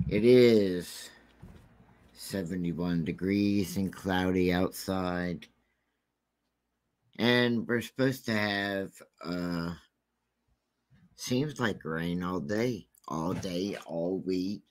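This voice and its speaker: A man with a deep, scratchy voice hosting a true crime channel, talking casually and rambling with many fillers. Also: drawn-out words, pauses often.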